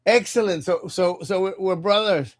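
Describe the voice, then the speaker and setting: A man from Cuba, reading tarot cards in a halting, casual livestream chat and often repeating his words. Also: high-pitched. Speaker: tarot reader.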